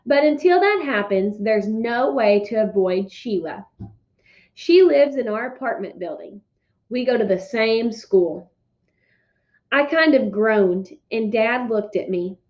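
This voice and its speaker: A woman teacher giving instructions and reading aloud to pupils with emphatic, deliberate phrasing. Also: a little high, lively intonation, muffled recording. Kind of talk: instructional read-aloud, scripted narration.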